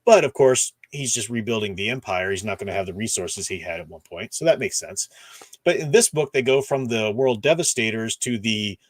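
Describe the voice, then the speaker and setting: A man's voice: fairly low pitch, lively intonation, a little fast, no echo. A man hosting a discussion stream, casually recapping and explaining in an enthusiastic, conversational way. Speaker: host of a book discussion stream.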